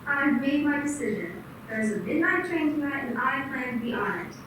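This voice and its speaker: A wry, resolute young woman, played by a woman with a high voice, speaking quietly. She sounds far off in an echoey room with noise clearly heard behind her. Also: animated, moderate pace. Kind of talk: amateur radio play acting.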